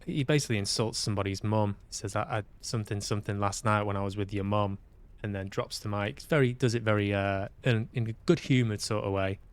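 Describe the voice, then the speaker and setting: A man's voice: fairly low pitch, steady tone, fast talker, few pauses, smooth. A man chatting casually as he hosts a livestream, hesitant and musing aloud to himself. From Manchester, UK. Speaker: livestream host. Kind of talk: casual livestream chat while playing games.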